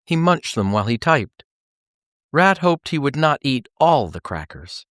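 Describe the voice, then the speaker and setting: A man narrating a children's novel as an audiobook, with expressive storytelling delivery. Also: medium pitch, measured pace, no room sound, studio quality.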